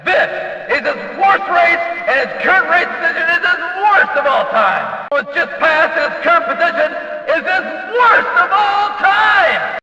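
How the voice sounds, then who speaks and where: A man playing an excitable race announcer in a video game, calling the race from the booth in a folksy, emphatic, dramatic way. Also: very high voice, moderate pace, heavily acted. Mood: gloomy, dramatic. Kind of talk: excited motorsport race commentary. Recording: echoey track PA, slightly dull, distant.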